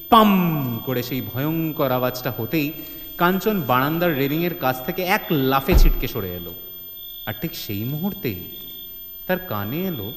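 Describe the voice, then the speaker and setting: A man's voice: mid-range voice, a bit bright, slight background noise. A man narrating a comedy-mystery story in a theatrical, suspenseful way, with touches of mock alarm. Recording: long hall reverb, music bed.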